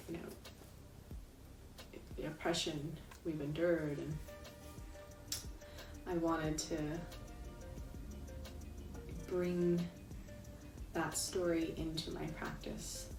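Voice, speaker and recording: A young adult woman speaking thoughtfully about her tarot practice, with many ums and pauses. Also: deep voice, flat delivery, recorded from a distance. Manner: soft-spoken, thoughtful, many ums and you-knows. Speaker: tarot reader and interviewee. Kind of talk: reflective personal talk. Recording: roomy sound, voice set back from the mic, clear music behind.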